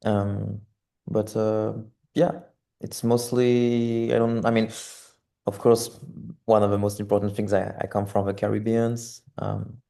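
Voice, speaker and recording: A man's voice: fairly low pitch, level tone, measured pace, creaky, mellow. A man in his twenties from French Guiana, an artist talking reflectively as an interview guest, hesitant and searching for words.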